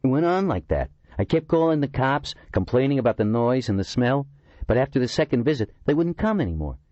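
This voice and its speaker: A man's voice: mid-range voice, sing-song, fairly quick, dark tone, dry recording, highs cut off, pitched above the performer's own voice, natural voice. A man voicing an eccentric, talkative middle-aged man who complains indignantly. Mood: aggrieved.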